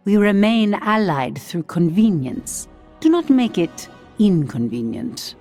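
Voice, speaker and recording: A woman playing a stern, devout elf high priestess in a video game, formal and dignified. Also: sing-song, takes it slowly, warm tone, faint music behind, exaggerated voice. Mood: cool, dignified. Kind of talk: video game character voice lines.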